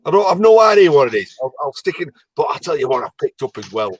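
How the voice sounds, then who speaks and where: A man's voice: a little high, big pitch swings, fast talker, slightly rough, no echo, poor audio. A man with an English accent, chatting and joking casually on a live stream. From England. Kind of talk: casual chat.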